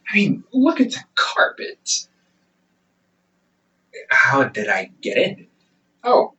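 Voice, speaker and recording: A man's voice: mid-range voice, bright, forceful tone, recorded from a distance, exaggerated voice, rough recording. A man playing a smug, ancient demon older brother in an audio roleplay, sarcastic and condescending, with a conversational drawl. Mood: mocking, then smug, amused.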